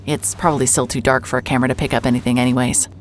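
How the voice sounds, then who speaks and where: A woman's voice: very deep voice, flat delivery, racing, a little hiss or hum. A woman acting as a hard-hearted, wry and nervy private investigator in a cinematic audio drama, muttering to herself as she works. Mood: businesslike. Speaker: lead actor and narrator of an audio drama. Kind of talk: cinematic audio drama, first-person noir narration and case dictation.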